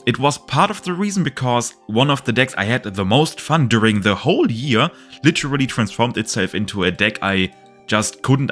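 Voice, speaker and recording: German accent guy rambling to camera, kinda low voice, faint music behind. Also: a bit bright. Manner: candid, rambling, swears casually. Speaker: card game YouTuber. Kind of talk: casual vlog monologue.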